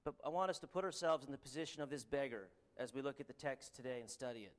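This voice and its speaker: A man with a Canadian accent preaching a sermon and reading scripture, earnest and halting, with frequent ums and restarts. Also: mid-range voice.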